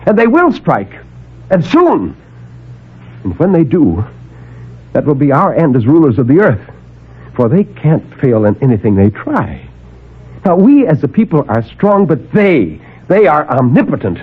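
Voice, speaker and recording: A man's voice: on the high side, huge pitch swings, slightly rough, heavily acted. Desperate, pleading man on old radio. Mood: urgent, fearful. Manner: urgent, emphatic, halting delivery. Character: desperate male escaped captive pleading to be believed. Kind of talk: 1950s radio drama acting. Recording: narrowband old AM radio recording.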